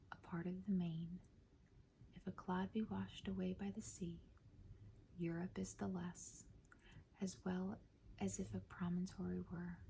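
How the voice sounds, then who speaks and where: Slow, soft half-whispering ASMR woman. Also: lowish voice, gravelly, a little hiss or hum. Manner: gentle, measured, hushed. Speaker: ASMR host. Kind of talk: soft-spoken ASMR poetry reading.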